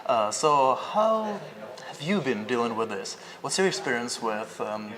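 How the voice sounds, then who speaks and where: Friendly male interviewer, animated delivery, busy crowd noise behind. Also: medium pitch, unhurried. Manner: friendly, asks short follow-up questions. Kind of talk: student testimonial interview.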